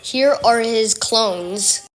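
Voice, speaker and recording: A woman's voice: fairly high pitch, very slow. A woman delivering a homemade mock emergency alert as an announcer, repeating her warnings.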